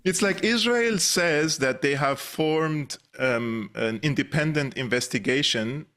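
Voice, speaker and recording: A man's voice: fairly high pitch, a little slow, fairly bright tone. A middle-aged man from Syria with a Syrian accent, giving emphatic political commentary as an interview guest into a close studio mic. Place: small indoor studio. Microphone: studio boom mic, close.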